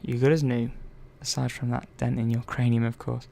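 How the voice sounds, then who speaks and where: A man plays a gently chatty, curious technician who tends to robots in an ASMR roleplay. His soft voice is mellow and heavily fried, and he thinks aloud in an unhurried murmur. A faint noise sits behind him. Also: medium pitch, level tone, creaky, natural voice. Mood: gently absorbed.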